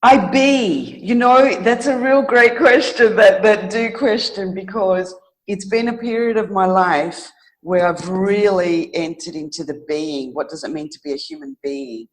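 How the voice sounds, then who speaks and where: A middle-aged woman telling her own story as an interview guest, reflective and thoughtful, often pausing to search for words. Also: mid-range voice, low-quality recording.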